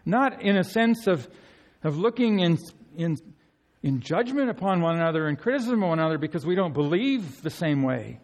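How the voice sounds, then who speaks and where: Earnest preacher in a reverberant hall. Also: male voice, very animated, moderate pace. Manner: earnest, emphatic, voice climbs high on key phrases. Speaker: pastor. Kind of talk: sermon.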